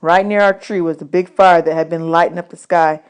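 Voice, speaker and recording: A woman's voice: on the low side, fairly even intonation, a little fast, clean tone. A woman narrating a children's novel as a read-aloud audiobook. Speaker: audiobook reader.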